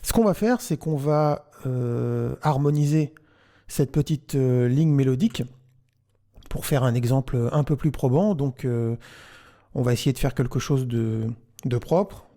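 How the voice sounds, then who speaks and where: A man narrating a software tutorial, explaining things step by step as the host. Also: medium pitch, unhurried.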